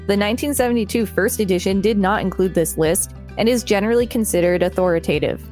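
A woman's voice: medium pitch, darkish tone. A woman co-hosting a podcast, reading an article aloud with casual asides.

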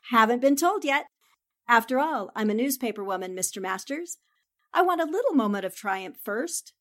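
A woman's voice: a little high, big pitch swings, moderate pace, fairly bright tone. A woman playing a shrewd, confident newspaper reporter with poised, theatrical delivery in a 1940s-style radio drama. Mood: bright confidence. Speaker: voice actor.

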